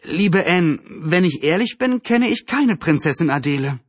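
A man voicing a polite, methodical older brother in a children's storybook, measured and skeptical. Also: fairly high pitch, very animated, slightly rough, no echo, pitched above the performer's own voice, heavily acted.

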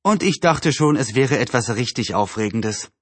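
A man's voice: medium pitch, animated, moderate pace, no echo, lightly acted. A man voicing an unimpressed, mocking tomboy girl in a children's storybook, dry and teasing.

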